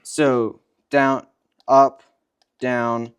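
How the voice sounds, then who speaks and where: A man's voice: medium pitch, level tone, very slow, smooth. A young man co-presenting, explaining equipment step by step in a matter-of-fact, instructional way.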